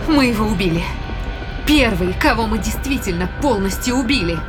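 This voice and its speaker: Woman as a defiant spaceship crew member, loud music. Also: mid-range voice, expressive, normal speed, lightly acted. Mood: grim awe. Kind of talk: audio drama acting. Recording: slightly distant, loud music behind.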